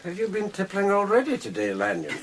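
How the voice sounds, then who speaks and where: A proper, lilting older gentleman. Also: high-pitched, huge pitch swings, a little fast. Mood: dry reproof. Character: proper, austere older Scottish gentleman, drily indignant. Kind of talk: radio drama acting.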